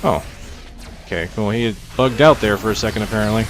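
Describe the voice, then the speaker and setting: A man's voice: fairly low pitch, fast, slight background noise. A man giving casual gameplay commentary, explaining things conversationally and thinking aloud as he plays. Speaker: gamer presenting a game preview. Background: music behind the voice.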